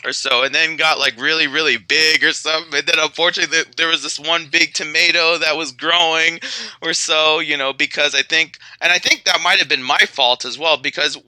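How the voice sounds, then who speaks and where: A man with a fairly high, strikingly bright and forceful voice spins an improvised tale. He rambles and repeats himself in an excitable way. The sound is dry and close. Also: brisk, no room sound. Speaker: storyteller. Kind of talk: improvised spoken storytelling in character as a magical bear.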